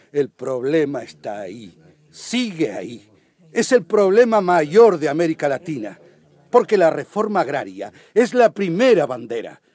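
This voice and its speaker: A fiery advocate in a radio courtroom drama. He is high-voiced and widely animated, yet takes his time. His oratory is emphatic, built on repetition and indignant questions, in a dry close sound. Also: no echo, heavily acted. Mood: grave, urgent. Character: impassioned male advocate denouncing injustice in a courtroom.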